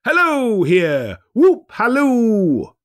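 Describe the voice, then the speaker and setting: A man voicing a giddy, overjoyed old miser reformed overnight, excitable, breathless and full of exclamations, in a storybook reading. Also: very high voice, drawn-out words, pitched above the performer's own voice, heavily acted. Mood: giddy glee.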